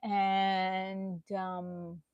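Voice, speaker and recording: A middle-aged woman from Texas, hosting a crochet live stream in a casual, chatty way, often jumping between loud talk and soft whispers. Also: medium pitch, completely flat delivery, very slow, smooth, dry recording, dull audio. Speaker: crochet channel host.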